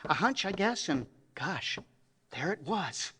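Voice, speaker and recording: A man plays an eager young sidekick in a vintage adventure radio play. The voice is high and bright, swinging widely in pitch, with an excitable gee-whiz hesitancy. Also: slow, husky, full character voice. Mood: excited, surprised. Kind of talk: old-time radio adventure acting.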